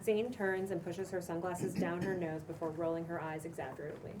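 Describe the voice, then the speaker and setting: A woman with a slightly low voice reads screenplay action lines aloud as narrator. She is some distance from the microphone, with clear noise in the recording. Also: fairly even intonation, a little fast. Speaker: stage directions reader. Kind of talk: cold read of screenplay directions.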